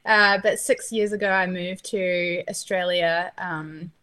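Bubbly young Kiwi woman guest, fairly low voice, New Zealand accent, quick to laugh. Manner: bubbly, laughs often, animated. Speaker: guest, artist and ministry founder. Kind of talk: casual interview chat.

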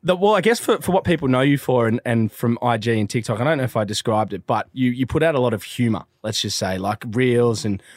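A laid-back man in his late twenties, hosting a podcast interview. His voice sits at a middle pitch, and he speaks casually with matey slang. The recording is dry and close. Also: a little fast, few pauses, no echo. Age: 20s. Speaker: podcast host.

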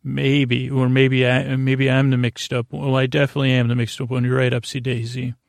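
A man hosting a bedtime podcast with a whimsical, meandering, halting monologue that often trails off, in a gravelly voice. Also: medium pitch, level tone, no room sound, clean studio sound. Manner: whimsical, talks to an imaginary guest. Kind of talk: rambling improvised bedtime monologue.

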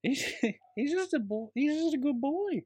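A man's voice: extremely high pitch, animated, unhurried, a little mellow. An Australian man co-hosting a casual film podcast, talking in a blokey, emphatic way with some swearing. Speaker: podcast co-host.

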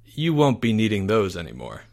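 A snappish storybook schoolteacher, played by a man, insistent and a little sinister. He is exasperated and emphatic, with a bright, forceful edge and swinging pitch. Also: big pitch swings, normal speed, exaggerated voice. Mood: slyly sinister.

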